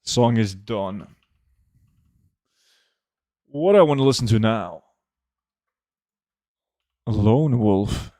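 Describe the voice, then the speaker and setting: A young man streaming a game, chatting casually and answering viewer questions in a relaxed, offhand way. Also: on the low side, very slow, pauses often, no room sound, studio quality. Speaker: gaming streamer reading chat. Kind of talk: casual live gameplay chat.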